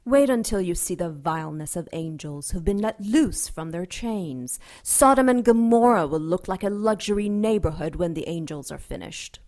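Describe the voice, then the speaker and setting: A woman playing a persuasive, grave female dark angel in an audio drama. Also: fairly low pitch, animated, moderate pace, hardly any pauses. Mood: scornful, foreboding.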